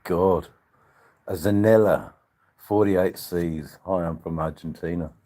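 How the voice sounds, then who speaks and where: An older man with an Australian accent and a husky voice, chatting casually to livestream viewers in a rambling, drawn-out, joking way. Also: low voice, warm tone. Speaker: livestream channel host. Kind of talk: casual live chat with viewers.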